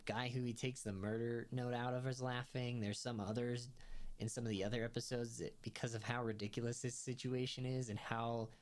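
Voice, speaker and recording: A young man giving a casual spoken review of a comedy series, rambling with lots of fillers and laughing as he talks. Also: medium pitch. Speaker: show reviewer.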